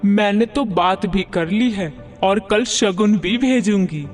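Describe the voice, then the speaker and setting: A man plays a proud, middle-aged village mother-in-law in a cartoon moral tale. The voice is very high and unhurried, with a boastful, scolding, rustic way of talking. Music plays clearly underneath. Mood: boastful, eager. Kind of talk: Hindi cartoon moral story dialogue.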